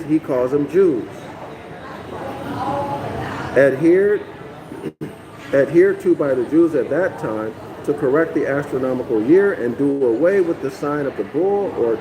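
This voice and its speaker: A man's voice: on the high side, lively intonation, measured pace, noisy background. A man from North America reading a text aloud and lecturing on it for a live online audience, often stopping to check in with listeners and repeating phrases as he explains. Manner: keeps checking in with listeners, "okay?" and "y'all".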